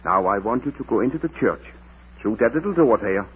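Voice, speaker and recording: An actor playing a kindly parish priest, thoughtful and measured, in a Golden Age radio drama. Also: male voice, medium pitch, animated, husky. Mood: gently persuasive. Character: gentle, wise, patient male parish priest. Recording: dull old radio drama recording.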